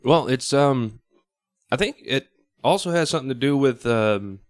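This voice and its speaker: A man's voice: medium pitch, lively intonation, moderate pace, no room sound. A man in a casual podcast discussion, thinking aloud a little hesitantly as he shares his view. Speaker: podcast panelist.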